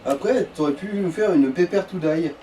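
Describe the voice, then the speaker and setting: Male co-commentator, soft dark tone, a bit high, hesitant slangy directions, off-mic with some noise. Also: normal speed. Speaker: co-commentator guiding the player. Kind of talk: casual gameplay chat, giving directions. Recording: distant mic with background noise.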